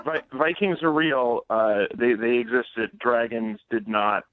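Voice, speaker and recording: A man calling in to a radio show, talking casually in a halting way. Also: medium pitch, noisy background. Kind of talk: casual conversation. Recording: slightly dull phone-in audio.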